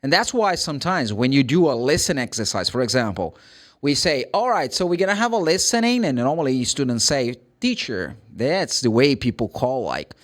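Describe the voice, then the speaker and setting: A man's voice: medium pitch, big pitch swings, moderate pace. A man from Brazil with a Brazilian accent, a native Portuguese speaker, teaching English pronunciation informally and emphatically, often answering his own questions. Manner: emphatic, asks and answers own questions, acts out short examples.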